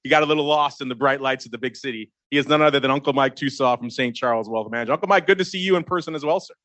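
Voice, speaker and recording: A man's voice: medium pitch, racing, a bit bright, no echo. A man hosting a show at a live event, joking and teasing his co-hosts as he introduces them. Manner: jokey, teasing banter. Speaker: host. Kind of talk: casual chat. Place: event space away from the studio.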